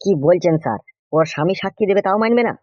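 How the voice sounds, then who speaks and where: A man plays a grumbling old villager in a comic cartoon, quarrelsome and crude. The words tumble out extremely fast, in a dull, very dry recording. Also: high-pitched, animated, darkish tone, dry recording. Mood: gossipy. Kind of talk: exaggerated comic cartoon dialogue.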